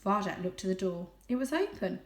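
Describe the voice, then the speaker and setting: A woman reading a children's story aloud as expressive narration. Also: medium pitch, brisk, echoey room.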